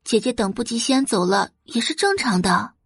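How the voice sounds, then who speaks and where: Woman playing a scheming young sister, audio drama, falsely considerate, wheedling, meek, lively intonation. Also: on the high side, normal speed, husky, dry recording, studio quality. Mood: sweetly placating.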